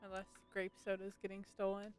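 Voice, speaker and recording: A woman with an average-pitched voice comments casually on a game she is streaming. She mutters offhand remarks, half to herself, with soft music in the background. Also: completely flat delivery, fairly quick. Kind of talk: casual live gameplay commentary. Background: faint game music.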